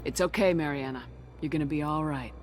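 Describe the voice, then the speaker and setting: An actress playing a calm, protective female military commander in a video game, speaking in a steady, firm and reassuring manner. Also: low voice, fairly quick, lightly acted. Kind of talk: dramatic video game acting. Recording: music under the voice.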